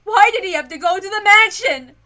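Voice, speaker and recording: A woman voicing a panicked, hysterical, feverish wife who wails and sobs for her husband in a frantic, shrill voice, in a story reading. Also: sharp tone. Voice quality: shrill, wailing. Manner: frantic, sobbing, drawn-out pleading.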